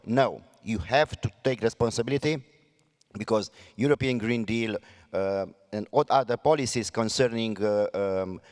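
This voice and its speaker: A man with a Romanian accent, a mayor speaking on a panel, earnest and halting with many fillers and restarts. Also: medium pitch, darkish tone, in a large hall. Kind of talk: panel debate remarks.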